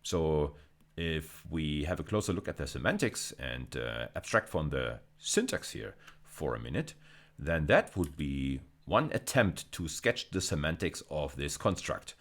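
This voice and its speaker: A male lecturer with a notably low voice. His intonation moves widely and animatedly as he explains things step by step. He often repeats a phrase to stress it, in the manner of a recorded university lecture. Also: very animated, a little slow, fairly bright tone.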